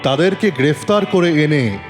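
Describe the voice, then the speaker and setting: নাটকীয় ওঠানামার গলায় পুরুষ গল্পকথক. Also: male voice, a little high, expressive, normal speed. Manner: theatrical, stern when questioning. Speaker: narrator playing a police detective. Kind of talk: dramatised first-person story narration. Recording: long reverb, faint music behind.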